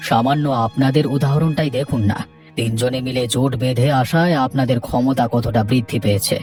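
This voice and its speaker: A man's voice: mid-range voice, fairly quick, darkish tone, natural voice. A man playing an earnest, firm village character in a cartoon, explaining things in a reasoning way. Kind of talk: animated cartoon dialogue. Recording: music bed behind the voice.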